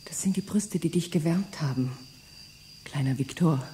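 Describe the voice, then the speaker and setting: A woman's voice: sits low, moderate pace, noisy background, close to an everyday voice. An actress voicing a bitter, seductive woman who wants her lost lover back, speaking in intimate whispers.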